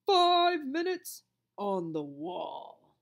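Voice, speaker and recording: A woman's voice: medium pitch, big pitch swings, extremely slow, creaky, exaggerated voice. A woman voicing a stern, bossy older female lunch monitor who bellows and growls. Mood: barking orders.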